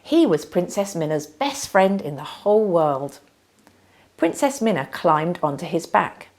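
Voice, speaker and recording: A woman reading a children's storybook aloud as narrator, with dramatic, deliberate emphasis. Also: on the low side, big pitch swings, darkish tone.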